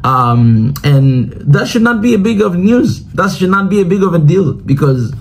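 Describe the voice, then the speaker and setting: A man's voice: high voice, expressive, normal speed, creaky, a little hiss or hum. A man from Tanzania, a Swahili speaker, hosting a reaction video in a loose, rambling way and often asking "you know what I'm saying". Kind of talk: casual commentary reacting to news clips.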